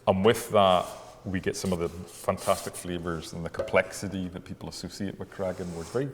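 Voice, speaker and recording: A middle-aged man from Ireland with an Irish accent tinged with Scottish, talking casually and haltingly as a distillery manager being interviewed. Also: deep voice, fairly even intonation. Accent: Irish with some Scottish. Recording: long echoing reverb, faint background noise.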